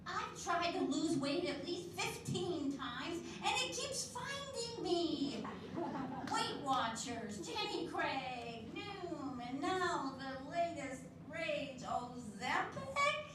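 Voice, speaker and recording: Older woman playing a blunt old woman, fond of sweets, high lively voice, slow, echoey hall. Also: exaggerated voice. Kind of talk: readers theatre acting. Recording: echoey hall, distant mic, background noise.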